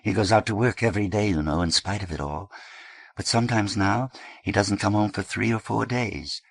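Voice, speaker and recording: A man voicing a worried teenage girl who speaks in halting, pleading fragments. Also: lowish voice, vocal fry, slightly rough, no echo, voice pushed up.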